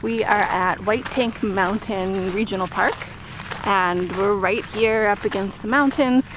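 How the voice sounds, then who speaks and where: A woman in her thirties chats easygoing and upbeat in a travel vlog, outdoors in front of a handheld camera. Her voice sits at a middle pitch, with clear background noise behind her. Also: unhurried, a bit bright, low-quality recording. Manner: easygoing, upbeat, adds tips. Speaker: vlog co-host. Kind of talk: casual travel vlog chat. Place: outdoors in the desert. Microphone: camera held at arm's length by her partner.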